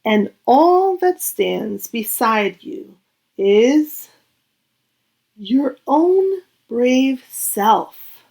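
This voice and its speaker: A woman reading a children's picture book aloud in a gentle, deliberate, expressive storytelling manner with dramatic pauses. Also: a little high.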